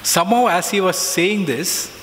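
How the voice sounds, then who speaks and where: A middle-aged man tells a reflective personal story, often pausing with "uh" and "so". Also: high-pitched, fairly bright tone. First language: not Hindi. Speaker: alumnus giving a talk. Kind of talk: personal spiritual talk. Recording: long reverb, faint background noise.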